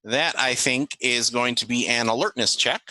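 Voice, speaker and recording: A man running a tabletop roleplaying game as game master, narrating casually and haltingly with pauses. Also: mid-range voice, bright, forceful tone, dry recording, rough recording.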